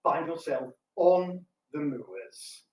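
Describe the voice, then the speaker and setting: Droll higher-voiced man, distant and echoey. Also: expressive, slow. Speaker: radio theatre writer giving a public talk. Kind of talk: casual co-presenting. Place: indoor public talk room. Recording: echoey room, distant mic, faint noise.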